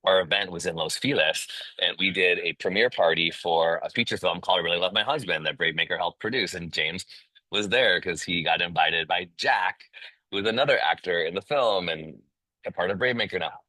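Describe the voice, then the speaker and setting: A man's voice: low voice, fairly quick, bright, forceful tone, no echo, rough recording. A man hosting a casual online interview, upbeat and slangy as he promotes events and welcomes a guest over a video call. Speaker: host.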